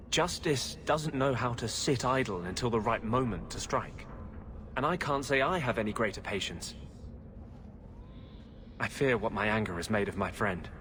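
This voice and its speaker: Brooding rebel mage, mid-range voice. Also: male voice, mid-range voice, a bit bright, a little hiss or hum. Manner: earnest, weary, bitterly sarcastic. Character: brooding rebel mage healer, bitter and impassioned. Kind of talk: video game voice acting.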